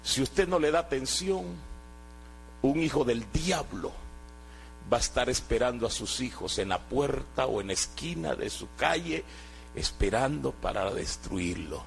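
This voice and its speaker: A man's voice: medium pitch, animated, a little slow, gravelly, a little hiss or hum, rough recording. A man from Guatemala, giving an earnest sermon testimony and often restarting his words. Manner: earnest, exhorting, with hesitant restarts.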